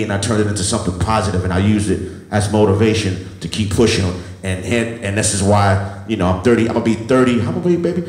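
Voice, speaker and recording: A man in his thirties, a music producer, telling his life story to a live audience with a repetitive, motivational cadence. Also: on the low side. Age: 30s. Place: event hall with an audience. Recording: echoey room.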